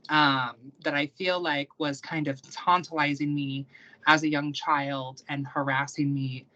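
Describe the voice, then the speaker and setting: A woman's voice: sits low, steady tone, a little slow, bright, forceful tone, muffled recording. A young American woman, a guest on an interview stream, chatting casually and rambling with many ums and likes. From United States. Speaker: guest, practicing witch and YouTuber.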